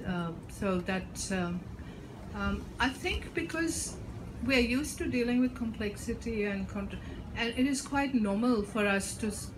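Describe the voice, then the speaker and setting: A woman author, a Bengali speaker, answers questions at a bookstore launch in a measured, hesitant way full of ums. Her pitch sits in a middle range for a woman. The live recording carries clear background noise. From India, Bengal. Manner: thoughtful, many ums and restarts. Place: bookstore event. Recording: noisy live event recording.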